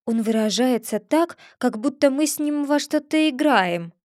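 A woman's voice: high voice, animated, normal speed, a little mellow, no room sound, clean studio sound. A woman voicing a polite, earnest seven-year-old girl who speaks carefully and apologizes. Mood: puzzled.

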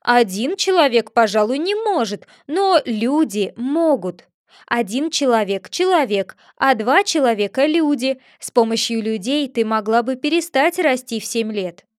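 A woman's voice: a little high, animated, dry recording, clean studio sound, lightly acted. A woman voicing a pompous, touchy male egg figure who lectures and takes offense easily. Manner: haughty, lecturing, quick to take offense.

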